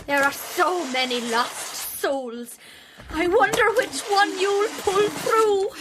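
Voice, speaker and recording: A woman playing an eerie, obsessive believer in spirits in an audio drama, with a breathy voice and an insinuating, insistent manner. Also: extremely high pitch, fairly even intonation, slow, very noisy. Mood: fervent, menacing. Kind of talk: dramatic audio fiction acting.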